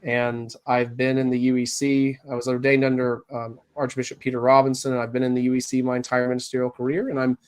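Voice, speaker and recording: A man who is a priest, talking earnestly in a casual interview, his voice raspy as he recovers from bronchitis, with many fillers and restarts. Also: mid-range voice, completely flat delivery, quick pace, few pauses, darkish tone. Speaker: Anglican priest, guest.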